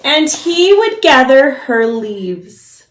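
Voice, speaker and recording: A woman's voice: high voice, sing-song, drawn-out words, a bit bright, reverberant, poor audio. A woman preschool teacher reading a storybook aloud to young children, encouraging and explaining things simply.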